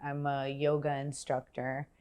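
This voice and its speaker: A woman's voice: rumbling low, completely flat delivery, a little slow, dark tone, smooth. A woman in her forties from Miami, speaking as an interview guest in a reflective, searching way, with fillers and restarts. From Miami, Florida. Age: 40s. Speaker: guest, healer and yoga instructor. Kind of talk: casual interview conversation.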